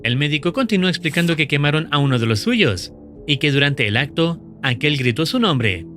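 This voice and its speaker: A man narrating in a steady, measured scripted voice-over, explaining the plot of a horror video series. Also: a little high. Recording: voice-over with faint music behind.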